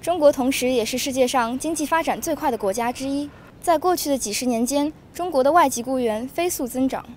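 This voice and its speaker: A woman host giving a scripted introduction in a measured, formal way. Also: high voice, slight background noise. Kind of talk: scripted narration.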